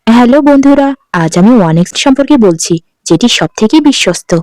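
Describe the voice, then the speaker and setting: A woman's voice: fairly high pitch, animated, fast talker, a little mellow, no room sound. A woman giving a scripted promotional read as an advertiser.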